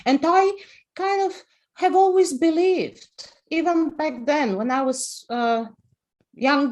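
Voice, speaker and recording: A woman's voice: a little high, mellow. A woman in her fifties from Bulgaria with a Bulgarian accent, speaking passionately as a guest on an online panel discussion, over a video call, posing rhetorical questions. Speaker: panel guest, personal finance writer.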